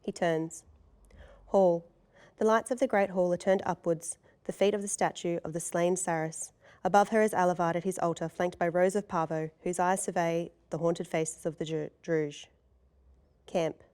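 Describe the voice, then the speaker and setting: Low-voiced woman reading screenplay directions. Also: fairly even intonation, moderate pace, a little mellow, smooth. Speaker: narrator of a table read. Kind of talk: scripted narration of screenplay directions.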